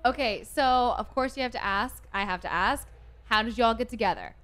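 A woman's voice: on the high side, a little fast, a bit bright. A young woman hosting a radio interview in a studio, bubbly and enthusiastic, laughing often into a studio microphone. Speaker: radio host. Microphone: studio mic on arm, headphones.

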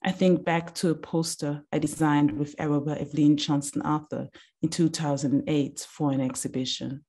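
A woman in her thirties giving a slow, deliberate reading of a reflective text over a video call from a small room. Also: deep voice, fairly even intonation, mellow. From Austria.

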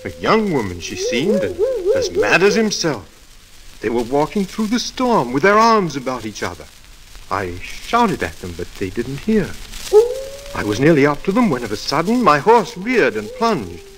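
A man's voice: on the high side, wildly swooping pitch, moderate pace, fairly bright tone, a little hiss or hum, rough recording. An actor playing a shaken, agitated country doctor, telling his story dramatically in 1940s radio drama style. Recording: old radio recording with music.

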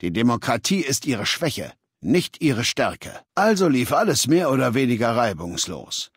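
A man voicing a cold, imperious warlord for a video game, speaking in a measured, self-assured, lecturing way. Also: mid-range voice, sing-song, breathy edge, no echo, studio quality. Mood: proud, contemptuous. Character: imperious, cold male warlord ruling a slave-taking legion. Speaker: game character voice. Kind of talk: German video game dialogue.

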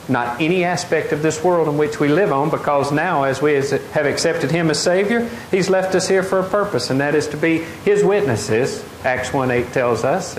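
Middle-aged preacher, higher voice, roomy hall with faint hiss, keeps asking the crowd stuff. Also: male voice. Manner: animated, gestures widely, asks the congregation questions. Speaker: pastor. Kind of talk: sermon. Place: large wooden open-air church hall. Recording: echoey hall, faint noise.

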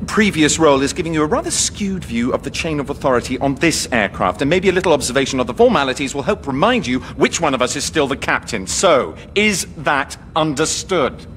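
Stuffy english pilot who gets defensive, radio sitcom, voice jumps around a lot, slight noise. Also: male voice, on the high side, animated, fairly quick, few pauses, fairly bright tone. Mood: officious. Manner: officious, defensive. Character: pompous, insecure, easily flustered young male pilot.

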